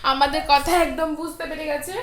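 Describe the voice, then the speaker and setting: A woman's voice: sits high, steady tone, fairly quick, heavy background noise. A woman vlogger casually telling viewers about a prank call, hesitant with many fillers. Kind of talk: casual vlog talk to viewers.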